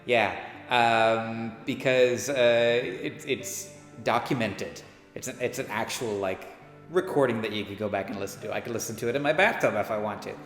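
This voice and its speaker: A man's voice: mid-range voice, normal speed, a bit bright. A man talking casually and with interest in a documentary interview. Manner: enthusiastic. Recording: echoey room with faint music behind.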